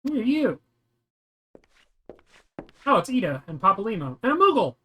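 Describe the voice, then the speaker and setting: A man's voice: high-pitched, sing-song, moderate pace, bright, forceful tone. A man giving a casual, blunt, sweary play-by-play description of what is on screen in a video game. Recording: game music behind the voice. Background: video game music.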